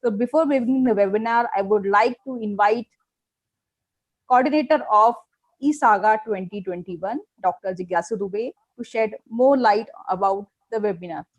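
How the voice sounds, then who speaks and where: A woman's voice: mid-range voice, normal speed, no room sound, low-quality recording. A woman hosting a webinar and giving a formal, scripted introduction of the speaker. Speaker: webinar host.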